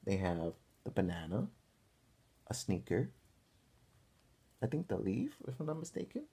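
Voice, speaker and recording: Guy reading a storybook to little kids, really up and down pitch, takes his time, stops to ask questions. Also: wildly swooping pitch, warm tone, husky. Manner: deliberate, pausing to question listeners. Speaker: teacher reading a picture book aloud. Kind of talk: animated read-aloud for young children, with questions.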